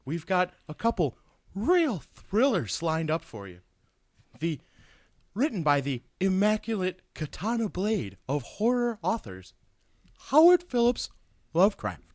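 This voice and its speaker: A man playing a campy, gleefully spooky horror show host, introducing scary tales with drawn-out theatrical delivery. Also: sits high, huge pitch swings, a little mellow, no echo, clean studio sound, heavily acted. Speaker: podcast host. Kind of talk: hammy horror anthology introduction.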